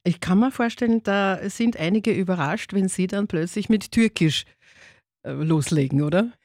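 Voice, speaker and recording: A man's voice: sits high, moderate pace, darkish tone, no echo, studio quality. A man hosting a radio interview in a chatty way, often affirming his guest with short replies. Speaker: radio host.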